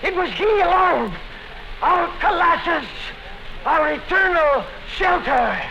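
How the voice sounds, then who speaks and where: A man's voice: very high-pitched, expressive, slow, creaky, exaggerated voice. An actor playing a broken, terrified male prisoner who pleads desperately and repeats himself, in a 1950s radio drama. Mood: devoted. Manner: desperate pleading, frantic repetition. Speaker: Winston. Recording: dull noisy 1950s radio broadcast.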